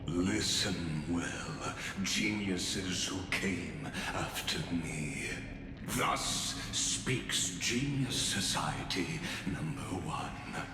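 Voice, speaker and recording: Very deep, grandiose scheming mastermind. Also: male voice, expressive, noisy background, heavily acted. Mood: grand, theatrical. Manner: theatrical, pompous, deliberate. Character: grandiose, scheming male elder genius, theatrical and proud. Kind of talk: dramatic video game villain acting. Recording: cavernous echo under loud music.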